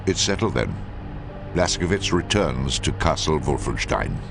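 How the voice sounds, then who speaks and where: Deep-voiced, commanding intelligence chief. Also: male voice, sing-song, a little slow, vocal fry, full character voice. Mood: measured authority. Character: commanding senior male intelligence chief, measured and decisive. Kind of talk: dramatic video game cutscene acting. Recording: background music under the voice.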